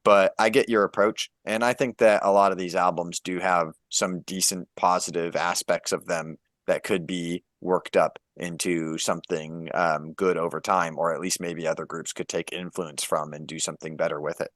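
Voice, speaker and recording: A man co-hosting a podcast, talking in a measured, thoughtful way with frequent ums. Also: low-pitched, little pitch movement, fairly bright tone, no room sound, clean studio sound. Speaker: podcast co-host. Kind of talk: casual podcast discussion.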